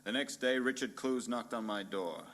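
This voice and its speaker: A man playing a hard-boiled, pompous and bumbling private detective in a noir radio play parody, narrating in a deadpan, melodramatic way. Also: medium pitch, normal speed, over loud music, close to an everyday voice. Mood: deadpan world-weary.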